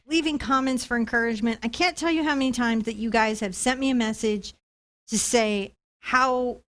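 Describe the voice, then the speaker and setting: A woman's voice: a little high, moderate pace, fairly bright tone. A woman chatting casually and playfully as the host of an art tutorial livestream, thanking supporters.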